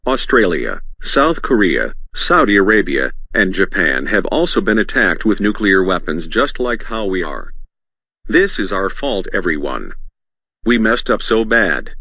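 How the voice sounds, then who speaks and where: Low, bright-edged solemn statesman, acted by a man. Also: husky, exaggerated voice. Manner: solemn, formal, apologetic. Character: solemn, remorseful male government leader addressing the nation. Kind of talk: scripted presidential-style address.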